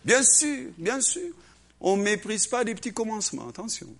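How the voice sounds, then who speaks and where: Animated high-voiced male preacher. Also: moderate pace. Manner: acts out voices, swings between loud and hushed. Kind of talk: animated sermon.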